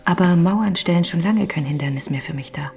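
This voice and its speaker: A woman playing an ethereal, melancholy young ghost in a German radio play, breathy and genteel, with old-fashioned courteous phrasing. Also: lowish voice, brisk, a little mellow, faint music behind. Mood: melancholy, insistent.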